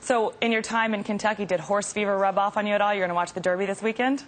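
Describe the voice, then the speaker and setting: Brisk woman host, friendly interviewer who laughs easily, medium pitch. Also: steady tone. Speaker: web show host. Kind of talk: hosted interview.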